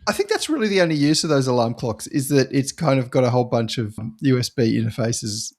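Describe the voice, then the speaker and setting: A man's voice: mid-range voice, lively intonation, fast, darkish tone. A man chatting casually as a podcast co-host, easygoing and joking along. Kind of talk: casual banter.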